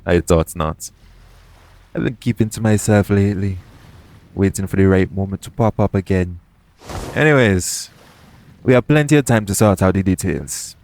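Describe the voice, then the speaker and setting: A man playing a shady, smooth-talking pirate captain in an audio roleplay, coaxing and self-assured in a conversational way. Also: low-pitched, moderate pace, pauses often, a little mellow. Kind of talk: second-person audio roleplay acting.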